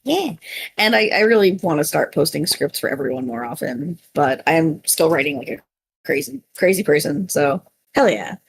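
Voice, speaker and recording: A woman podcast guest chatting casually in a flustered, excitable, self-deprecating way. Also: deep voice, normal speed, a little hiss or hum. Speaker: podcast guest, voice actor and writer. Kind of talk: casual chat.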